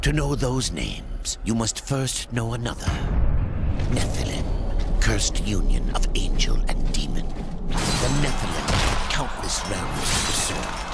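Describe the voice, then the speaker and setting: A man playing a grave, ominous ancient narrator of dark fantasy game lore, solemn and theatrical with a gravelly voice. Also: low-pitched, full character voice. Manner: solemn, portentous, theatrical delivery. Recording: loud music under the voice. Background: loud music.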